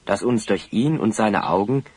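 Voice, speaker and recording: Lively, slightly husky young hero. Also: male voice, fairly low pitch, moderate pace. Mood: reasoning. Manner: reasoning, gently chiding. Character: sensible, friendly teenage boy hero. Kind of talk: radio play acting.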